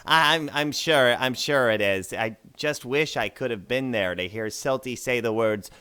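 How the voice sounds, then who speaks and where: Man playing a sarcastic mole worker, mid-pitched voice. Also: a little slow, bright, forceful tone, clear, resonant tone. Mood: mocking. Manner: sarcastic, teasing, dismissive. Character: sarcastic, skeptical male moleperson worker, proudly independent. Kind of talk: audio drama acting.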